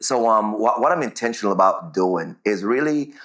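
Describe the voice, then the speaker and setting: A man's voice: lowish voice, lively intonation, moderate pace, a bit bright. A man with a Jamaican accent answering interview questions conversationally, repeating words as he goes and often checking in with "right?".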